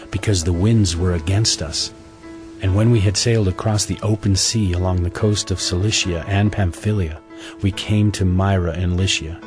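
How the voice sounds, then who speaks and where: Deep-voiced male scripture narrator. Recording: music bed under the voice.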